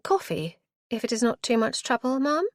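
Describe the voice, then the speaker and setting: A woman's voice: fairly high pitch, sing-song, normal speed, no room sound, studio quality, voice pushed up. A woman reading aloud, voicing a young noblewoman who speaks with polite restraint. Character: young noblewoman, composed, recovering from childbirth.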